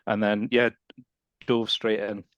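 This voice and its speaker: Scottish man in his thirties, guest on a casual call, low-pitched, relaxed and easygoing. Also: takes it slowly, no echo, highs cut off. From Scotland. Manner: relaxed and conversational, with "kind of" fillers. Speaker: guest, support professional. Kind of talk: casual video call interview.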